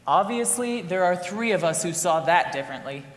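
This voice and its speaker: A woman playing a brisk, impatient juror keeping order in an amateur stage play. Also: lowish voice, animated, natural voice. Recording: echoey hall, long reverb.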